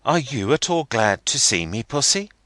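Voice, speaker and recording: A man voicing a stiff, half-grumbling young gentleman fiancé. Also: medium pitch, very animated, no echo, pitched above the performer's own voice. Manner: measured, slightly sulky delivery.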